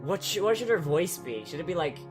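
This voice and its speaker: A man's voice: a little high, sing-song, fairly quick, over music. A man reading a visual novel aloud, cutting in with sarcastic, sweary jokes. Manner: sarcastic, swears freely, breaks off to joke. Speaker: let's player.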